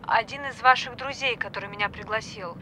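An actress playing a chatty, apologetic young doctor who moonlights as a stripper, hesitant and informal in a naturalistic audio drama. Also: female voice, on the high side, a little fast, sharp tone, a little hiss or hum, low-quality recording. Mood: chatty, frank.

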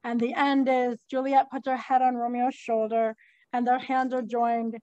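A high-voiced woman teacher runs a class play reading. She calls on readers and narrates stage directions with animated, informal asides, recorded dry and close. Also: moderate pace, no echo, lo-fi sound. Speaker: teacher leading a class read-through.

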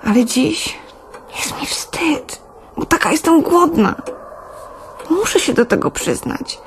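A self-pitying young wife in a radio drama. Her voice is high and she speaks very slowly, with plaintive sighs. There is faint noise behind her. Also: sing-song, exaggerated voice. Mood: plaintive, confessing. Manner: sighing, plaintive. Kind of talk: radio play acting.

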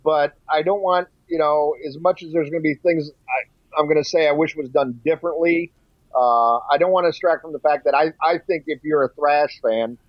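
A man's voice: fairly high pitch, moderate pace, no echo. Blunt, sweary man on a slightly dull podcast mic. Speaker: podcast co-host reviewing a music documentary. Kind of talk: casual chat.

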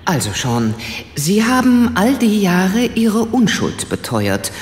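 A woman's voice: mid-range voice, sing-song, slow, a little mellow, roomy sound, big-room sound. A woman playing a polite, determined investigator in a German radio play, courteous and direct. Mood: courteous, probing.

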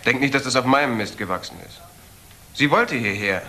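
Actor as an excitable, bright-voiced young son. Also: male voice, medium pitch, fairly quick, natural voice. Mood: uneasy. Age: young adult. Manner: emotional, insistent. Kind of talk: dramatic radio crime play acting. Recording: noticeable background noise, old broadcast audio.

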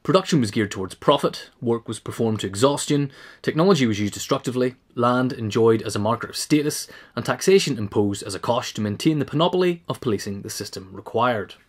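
Brisk man narrating an essay. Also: medium pitch, lively intonation. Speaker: audiobook reader.

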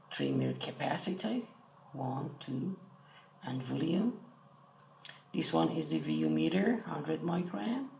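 A man with an Iranian accent giving a casual hands-on tutorial in short, halting phrases. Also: a little high, creaky, dark tone. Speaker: electronics hobbyist presenter. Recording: roomy echo with faint noise.

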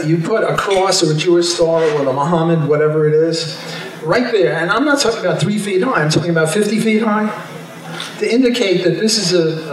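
Older man, slightly high voice, wry chatty speaker, handheld mic, echoey hall heard from a distance. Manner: chatty and wry, self-amused asides. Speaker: economist speaking at a convention. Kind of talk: informal convention talk. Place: large hotel ballroom stage. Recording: echoey ballroom from the camera.

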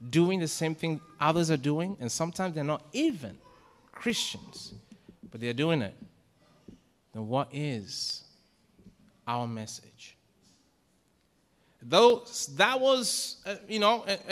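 A man's voice: fairly high pitch, a bit bright. A middle-aged man preaching a sermon from a pulpit microphone in a church, asking the congregation questions and rising to emphatic peaks. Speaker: pastor. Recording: long church hall reverb.

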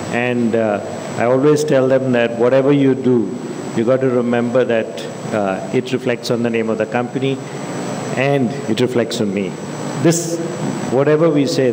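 A middle-aged man speaking earnestly into a handheld mic on a stage panel, sharing reflective business stories. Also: mid-range voice. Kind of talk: panel discussion. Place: large stage hall. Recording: echoey hall with faint hum.

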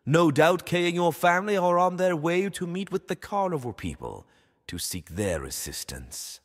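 An actor playing a smug, ruthless world dictator in an audio drama, mock-polite and theatrical. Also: male voice, a little high, very animated, normal speed. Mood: smug, sinister. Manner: mock-polite, theatrical, switching from exasperated to coldly quiet. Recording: long reverberant hall.

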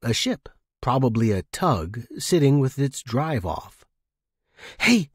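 Husky male storyteller, lively pitch. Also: unhurried, dry recording, clean studio sound. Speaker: podcast host and story narrator. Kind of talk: expressive first-person audiobook-style story narration.

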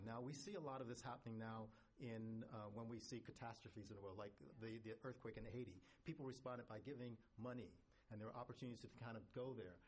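A man's voice: mid-range voice, slight background noise. A man speaking as a panelist, answering an audience question in a reflective way and often repeating words as he thinks aloud. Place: conference panel stage.

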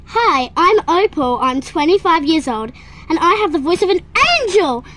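A woman's voice: extremely high pitch, expressive, moderate pace, crowd noise, noisy background. A woman playing a self-assured young singer. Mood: confident. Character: twenty-five-year-old woman singer Opal, confident.

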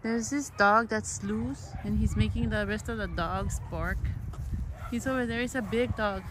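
A woman's voice: mid-range voice, measured pace. A woman chatting casually on a walking vlog outdoors on a handheld phone, switching between Spanish and English. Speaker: vlogger. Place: outdoors at stone ruins and a park path. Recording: noisy outdoor phone recording.

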